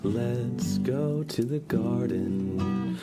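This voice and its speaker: A man hosting a relaxed livestream in a soft, hushed, easygoing voice. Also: mid-range voice, very slow, loud music behind the voice. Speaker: livestream host and ASMR streamer. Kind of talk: soft casual livestream chat between songs.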